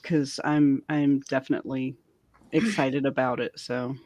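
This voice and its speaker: A woman's voice: a bass voice, steady tone, measured pace, a little mellow. A woman hosting a casual geek culture panel chat, informal and given to repeating her phrases for emphasis.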